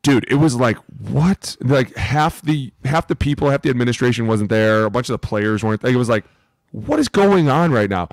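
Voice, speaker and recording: A man's voice: mid-range voice, expressive, moderate pace, no room sound. A man telling casual, salty anecdotes on a podcast, bluntly mimicking the people he quotes. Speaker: podcast co-host, former NFL lineman. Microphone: close podcast mic with headset.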